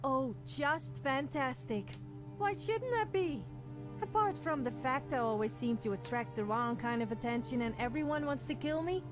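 A woman's voice: high voice, animated, normal speed. A woman playing a cheeky, needy, self-deprecating young adventurer companion in a video game, flippant and confessional. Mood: wounded, sarcastic. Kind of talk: scripted video game character dialogue. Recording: game audio with music behind.

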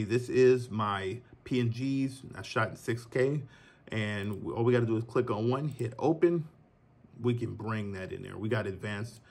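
A man in his thirties giving a relaxed, chatty software tutorial from his home office, recorded on a phone and talking to viewers as y'all. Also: lowish voice, gravelly, breathy edge. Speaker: filmmaker teaching a tutorial. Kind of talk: casual screen-recorded tutorial. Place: green screen studio, then home office.

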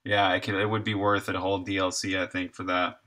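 Very bright-voiced man, low pitch. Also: little pitch movement, fast. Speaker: game developer livestreaming. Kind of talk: casual think-aloud coding commentary.

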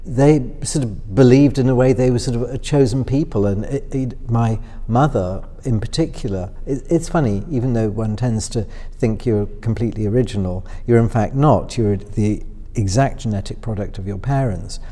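A middle-aged man from Northwest England with a softened upper-middle-class accent, musing through interview answers with many fillers and restarts. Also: mid-range voice, moderate pace, few pauses. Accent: upper-middle-class Northwest English softened by London. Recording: voice with long hall reverb.

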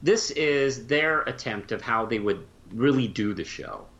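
A man co-hosts a casual chat in a medium-pitched voice with very varied intonation. He speaks hesitantly, working out his thoughts as he talks. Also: expressive, measured pace, fairly bright tone, lo-fi sound.

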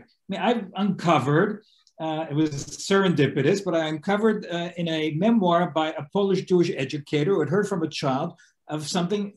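Sixties man hosting an academic video call, lively, high-pitched, restarts often. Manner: animated, gesturing, many restarts. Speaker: host and lecturer. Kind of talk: lecture discussion on a video call. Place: living room at home.

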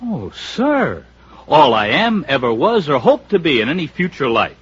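A flippant showbiz employee in a fifties radio play. His slightly high voice swings widely, glib and mockingly grand. The old recording sounds muffled. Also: big pitch swings, normal speed, breathy edge, exaggerated voice. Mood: mock-grandiose. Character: flippant, sarcastic male show-business employee. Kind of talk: 1950s radio drama acting.

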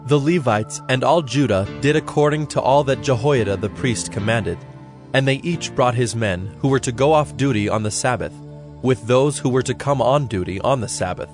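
A male narrator reads scripture in a measured, solemn way for an audio drama. His voice sits at a middle pitch, with faint music playing beneath it.

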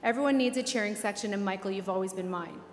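A woman's voice: mid-range voice, quick pace. A woman in her forties who owns a clinic, giving an earnest, grateful acceptance speech at a podium in a large banquet hall. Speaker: award winner, clinic owner. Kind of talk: acceptance speech and red-carpet interview. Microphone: podium microphones. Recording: echoey banquet hall with music.

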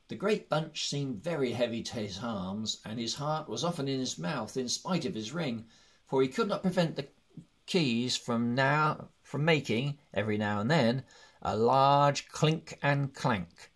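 A man reading a fantasy story aloud as scripted narration. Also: mid-range voice. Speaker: audiobook reader.

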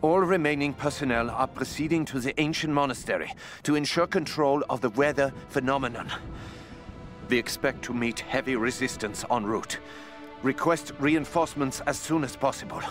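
Casting: male actor as wartime Japanese military scientist, mid-pitched, formal and controlled game report, prominent music. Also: normal speed, close to an everyday voice. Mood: grave, urgent. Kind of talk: scripted official report for a video game.

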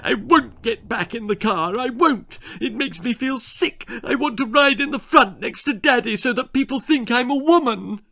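A man's voice: very high voice, expressive, normal speed, gravelly, few pauses, pushed and bright, no room sound, voice pushed up, heavily acted. A man voicing a spoiled, manipulative little girl who sobs and whines to get her way. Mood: whining tantrum. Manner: sobbing, whining, wailing.

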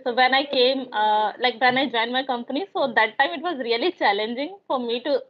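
A woman's voice: high voice, brisk, slight background noise. A young woman with an Indian accent and Hindi as her first language, hosting a casual video-call chat in a hesitant way. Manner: hesitant, many uh fillers, light laughs. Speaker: host. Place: room indoors. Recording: dull lo-fi call audio.

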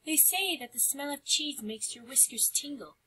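Woman voicing an excitable, high-pitched little country mouse lady. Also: normal speed. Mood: wistful.

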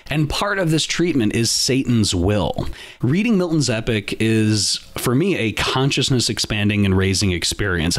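A man hosting a podcast, delivering a scripted monologue in an earnest, emphatic way. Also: medium pitch. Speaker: podcast host.

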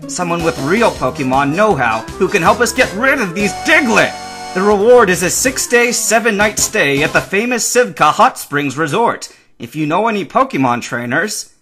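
Man acting a frantic, yelling construction worker, pitched high. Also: sing-song, a little fast, hardly any pauses, music in the background. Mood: agitated, urgent. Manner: yelling, exasperated. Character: frantic, furious male dam construction worker. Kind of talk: fan fiction audiobook character voice.

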